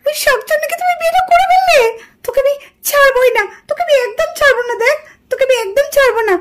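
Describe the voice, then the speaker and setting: Jealous ghostly wife acted by a woman, high voice, scolding, insistent, defiant. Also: quick pace, a little hiss or hum. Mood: vengeful. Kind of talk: puppet doll cartoon dubbing.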